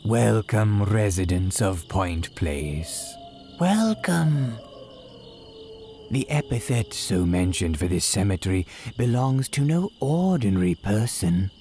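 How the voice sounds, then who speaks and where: A man playing a courteous, gleefully sinister cemetery keeper, telling horror tales in grand, archaic, drawn-out phrases like old-time radio drama. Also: low voice, expressive, mellow, soft background music, exaggerated voice. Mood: grandly ominous. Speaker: horror storyteller host.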